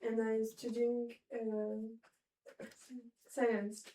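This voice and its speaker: A nineteen-year-old French woman speaks slow, hesitant English with a French accent and little pitch movement. She is far from the mic in an echoey room. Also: completely flat delivery, warm tone. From France.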